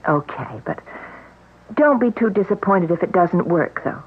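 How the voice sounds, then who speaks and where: An actress playing a friendly housewife who talks in a lively, reassuring way in an old radio drama. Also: female voice, fairly low pitch, measured pace, slightly rough. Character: Gwen, brisk friendly housewife. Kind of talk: 1970s radio drama acting. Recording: tinny old radio broadcast.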